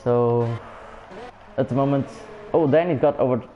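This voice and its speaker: A man's voice: mid-range voice, moderate pace, narrow, tinny audio, a little hiss or hum. A man from Portugal with a Portuguese accent, casually commentating a live online race and chatting with viewers. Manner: non-native English, loose and chatty, talks to viewers. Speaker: sim racing commentator. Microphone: home streaming mic.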